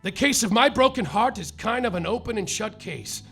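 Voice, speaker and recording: A man's voice: sits high, expressive, moderate pace, fairly bright tone, husky, faint music behind, exaggerated voice. A man playing a self-absorbed hardboiled male private detective, narrating in a rambling, boastful, melodramatic way on a live comedy stage. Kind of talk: live comedy radio-play acting.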